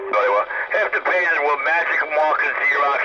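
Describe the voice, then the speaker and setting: A man's voice: on the high side, expressive, moderate pace, over a noisy crowd. A man chatting in slang over CB radio, boastful and rambling. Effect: CB radio transmission. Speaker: CB radio operator. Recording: distant, muffled, very noisy CB radio.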